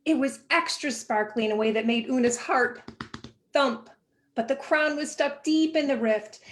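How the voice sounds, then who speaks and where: A woman reading a children's picture book aloud in an expressive, animated way with dramatic pauses. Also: a little high. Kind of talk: expressive children's storybook narration.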